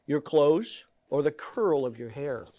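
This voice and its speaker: A man reading a children's picture book aloud in a gentle, deliberate storytelling manner, leaning on key words. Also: on the high side, lively intonation. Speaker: guest story reader.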